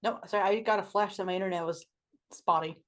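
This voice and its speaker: A woman's voice: medium pitch, fairly even intonation, quick pace. A woman running a tabletop game online as game master, narrating and chatting with players over a voice call. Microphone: online voice call from own mic.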